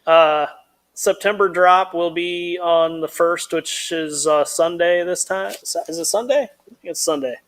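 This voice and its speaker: A man with a somewhat high voice co-hosts a live stream in easy, casual chat. He is blunt and laid-back, and he chuckles often between thoughts. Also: measured pace, fairly bright tone.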